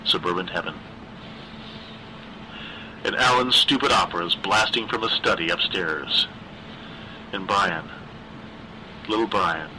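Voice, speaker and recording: A man reading a dark horror story as a scripted narration, with a dramatic, deliberate literary delivery. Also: low voice, a little hiss or hum, rough recording.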